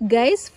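Young woman from Uganda, vlogger, giggly and breathless, voice leaping high and low, recorded outdoors, faint music under her. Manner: giggly, breathless with excitement, frequent laughs and exclamations. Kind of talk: excited unboxing vlog. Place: outdoors in a rural village yard, seated on a mat.

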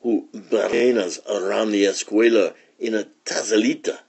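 A man's voice: fairly low pitch, steady tone, normal speed, gravelly, darkish tone, breathy edge. A man voicing dramatic little Chihuahua pals who exclaim urgently in a storybook. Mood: urgent, excited. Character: small Chihuahua amigos, dramatic dudes mixing in Spanish.

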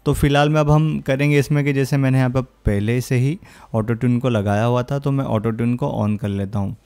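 A young man explaining audio software in a casual tutorial style, with frequent filler phrases, speaking close to a studio microphone. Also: mid-range voice, steady tone, a little fast, clear, resonant tone. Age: young adult. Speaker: music production tutorial host. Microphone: close studio condenser microphone.

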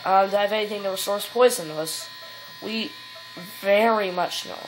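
A woman's voice: medium pitch, normal speed, background noise. Rambling giggly woman commenting on a game. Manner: rambling, giggly, lots of fillers and silly rhymes. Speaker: gameplay commentator. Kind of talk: casual let's play commentary. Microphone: phone filming the TV.

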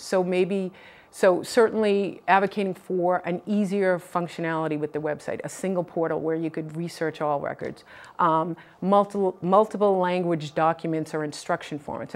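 A middle-aged woman from Boston, a political candidate answering questions in a TV studio interview, earnest and measured, recorded on a lapel mic. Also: on the low side. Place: TV news studio.

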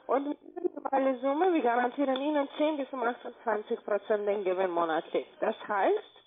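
Foreign-accented woman on a muffled phone line. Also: on the high side. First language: not German. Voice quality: mumbled, indistinct. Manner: evasive, halting broken German phrasing. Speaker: telemarketing caller pitching investments. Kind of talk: phone sales call.

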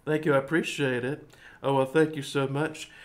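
A man's voice: mid-range voice, level tone, normal speed. A man in his thirties playing piano on a live stream, chatting to viewers in a rambling way and laughing easily. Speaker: singer and pianist streaming live. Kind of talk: singing with piano, then casual chat to viewers. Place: indoors before a green-screen backdrop. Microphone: studio mic with pop filter. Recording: piano music under voice.

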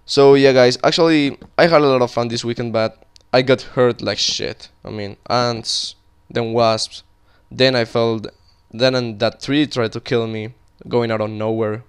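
A man's voice: mid-range voice, steady tone, unhurried, few pauses, smooth. Chatty Colombian-accented young man gaming. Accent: Colombian Spanish. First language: Spanish. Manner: rambling and chatty, talking while playing, frequent restarts. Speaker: gaming video creator. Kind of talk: casual gameplay commentary.

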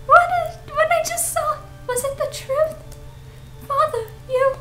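A young woman voicing a frightened, pleading young girl from an anime horror game, squeaky and whiny. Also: unhurried, music in the background. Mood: shaken. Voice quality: squeaky, high. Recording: faint noise, echoey room.

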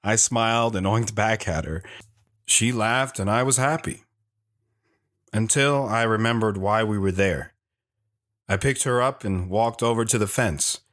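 Low-voiced brooding soldier played by a man. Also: a little slow, pauses often, fairly bright tone. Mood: reflective. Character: reflective, stoic young soldier, husband and father. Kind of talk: audio drama first-person narration and dialogue. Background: guitar music.

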